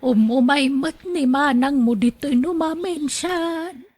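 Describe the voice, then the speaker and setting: A frail but stubborn old grandmother voiced by an actress in a radio drama. She speaks high and slowly, drawing out her complaints. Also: darkish tone. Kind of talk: Ilocano radio drama acting.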